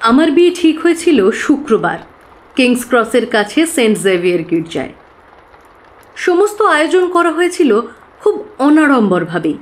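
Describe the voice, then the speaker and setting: A woman's voice: high-pitched, big pitch swings, normal speed. An actress playing an earnest, trusting young woman client who carefully recounts her troubles in an audio drama. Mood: earnest, troubled.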